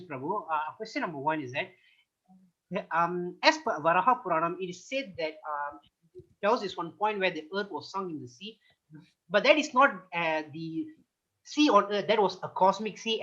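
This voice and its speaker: A young man from Malaysia joins a video call to ask questions, polite and eager. His voice is a bit husky, and the call audio sounds muffled and dull. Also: high voice, lively intonation, moderate pace, bright, forceful tone. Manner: polite, eager, repeats affirmations. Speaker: audience member asking questions.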